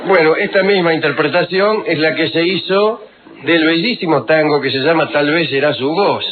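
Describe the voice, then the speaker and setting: A man hosting radio, telling ironic, digressive stories with quick asides. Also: on the high side, a crowd in the background. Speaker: radio host. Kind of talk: humorous radio talk. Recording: lo-fi phone-like archive radio with noise.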